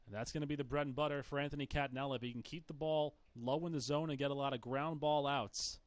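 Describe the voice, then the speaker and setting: Male play-by-play baseball announcer, mid-pitched voice, dry broadcast sound. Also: fairly even intonation, quick pace, no room sound. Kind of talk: live sports commentary.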